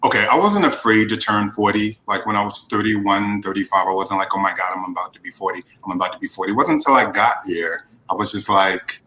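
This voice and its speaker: A man's voice: lowish voice, fast, few pauses, sharp tone, low-quality recording. A man in his forties, a guest in a casual chat, talking frankly and animatedly about his life. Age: 40s.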